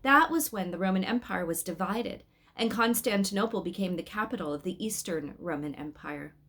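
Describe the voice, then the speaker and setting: A woman's voice: medium pitch, animated, normal speed, fairly bright tone. A woman voicing a learned male angel in a storybook, with a didactic, matter-of-fact manner. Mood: informative. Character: learned male angel, precise keeper of dates.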